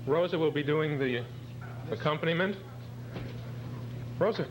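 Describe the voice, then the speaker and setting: Higher-pitched male presenter, very noisy recording. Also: a little slow. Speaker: presenter introducing a cast. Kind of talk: live stage introductions. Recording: very noisy live event recording.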